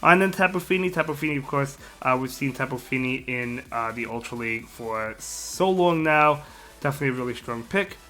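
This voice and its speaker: Hoarse, bright-voiced male gaming host. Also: medium pitch, brisk. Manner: rambling, repeats words, frequent fillers and chuckles. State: sick, partly lost voice. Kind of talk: casual game strategy commentary.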